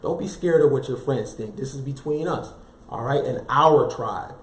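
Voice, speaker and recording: A man's voice: medium pitch, normal speed, echoey room. A man giving a motivational self-help talk, preaching in rhetorical questions, repeating key phrases and dropping casual slang asides to his viewers. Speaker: motivational speaker.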